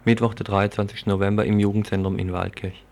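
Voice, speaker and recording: A male radio interviewer with a gravelly edge to his voice puts long questions in a live news interview. His phrasing is measured and hesitant, and his intonation stays quite flat. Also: on the low side.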